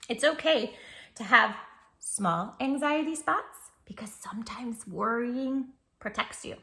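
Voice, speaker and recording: Bright-voiced woman hosting children's storytime. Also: a little high. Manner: affectionate, addressing the child listener directly and intimately. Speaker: children's storytime host and read-aloud reader. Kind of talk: children's storytime talk and picture-book read-aloud.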